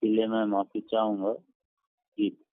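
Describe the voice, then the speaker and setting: The sound is a dry, tinny phone line. An Indian man speaks as a customer care agent in a fairly low voice. His manner is polite and apologetic, aimed at placating the customer. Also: completely flat delivery, a little slow, no room sound. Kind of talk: customer service phone call.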